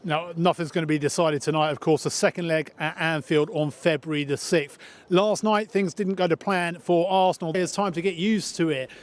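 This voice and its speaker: A man presenting the sports news on a broadcast bulletin in a brisk, matter-of-fact way. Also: fairly high pitch, fairly even intonation.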